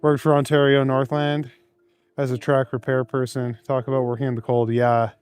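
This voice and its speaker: A man's voice: mid-range voice, little pitch movement, normal speed, a little mellow, clear, resonant tone, soft background music. A man live streaming while out on cold city streets, reading chat aloud in an easygoing, chuckling, rambling way. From Canada.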